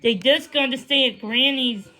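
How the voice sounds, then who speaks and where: A woman's voice: sits high, normal speed, sharp tone. A woman reading a children's storybook aloud as a read-along, sometimes stumbling and repeating words. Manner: stumbles over words and rereads them. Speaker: storybook reader.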